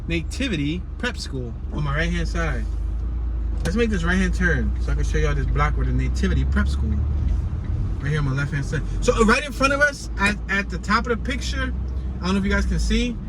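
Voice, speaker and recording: A man's voice: fairly high pitch, lively intonation, brisk. Chatty man on a noisy drive. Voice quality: stutter. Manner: chatty, playful, coins words, talks to viewers as y'all. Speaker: vlogger giving a driving tour. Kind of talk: casual driving tour narration. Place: inside a car driving through city streets. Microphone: camera mic in a moving car. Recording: road and engine noise.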